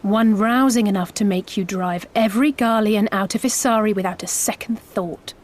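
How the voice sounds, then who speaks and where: An actress playing a composed, daring rebel envoy in a video game, speaking in a measured, formal, persuasive way. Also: female voice, medium pitch, close to an everyday voice. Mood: resolute. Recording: background music.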